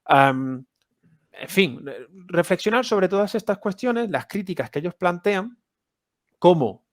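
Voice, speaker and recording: A man hosting an academic interview podcast, talking in a measured, hesitant way with many fillers and restarts. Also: sits high, lively intonation, no room sound, studio quality. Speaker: podcast host.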